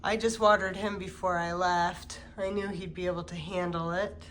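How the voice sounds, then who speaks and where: A woman's voice: on the low side, normal speed, slight background noise. A woman hosting a plant channel, congested from a cold, chatting casually as she walks through her home. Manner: relaxed, chatty, walking through rooms with fillers. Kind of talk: casual home-tour vlog. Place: home, moving between rooms.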